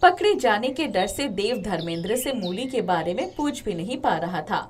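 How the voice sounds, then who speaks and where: A woman's voice: mid-range voice, big pitch swings, normal speed, slight background noise. A woman narrating a scripted moral story as a storyteller.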